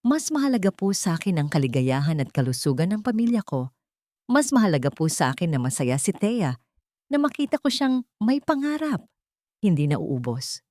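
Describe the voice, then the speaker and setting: Woman acting steadfast, respectful husband, expressive pitch. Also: moderate pace, dry recording, clean studio sound. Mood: firm, earnest. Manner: calm, firm, polite. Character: devoted, steadfast grown son and husband, respectful.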